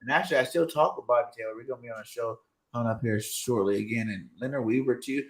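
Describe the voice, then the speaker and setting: A man speaks as a guest in a casual interview. He talks very fast and sounds animated, piling up names and asides. His pitch is ordinary for a man. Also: poor audio. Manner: rapid, animated, name-dropping, frequently says "you know what I'm saying". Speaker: guest content creator.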